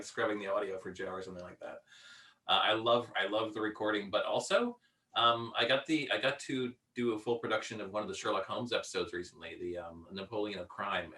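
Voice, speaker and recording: A man's voice: on the low side, bright, forceful tone. A man chatting casually as an interview guest, enthusiastic and self-deprecating. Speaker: audio drama actor and sound editor, guest. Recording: slightly distant from the mic.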